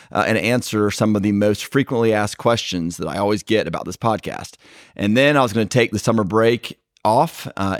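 A man's voice: fairly low pitch, dry recording, studio quality. A man hosting a casual podcast, talking in a loose, rambling way with fillers and restarts. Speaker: podcast host.

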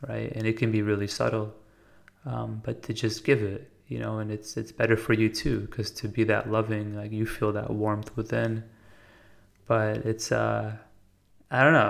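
An American man speaks in a slightly low voice with plenty of vocal fry. His melody stays narrow and even. He reflects out loud in an earnest, casual monologue, halting now and then to find his words. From United States. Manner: informal, halting, searching for words. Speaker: podcast host.

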